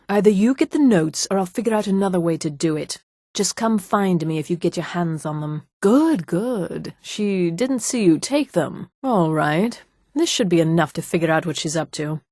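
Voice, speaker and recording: An actress voicing a vain, scheming female high elf for a fantasy video game, with a haughty, poised, condescending manner. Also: moderate pace, dark tone, dry recording, clean studio sound, lightly acted. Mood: curt, scheming. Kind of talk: scripted video game character dialogue.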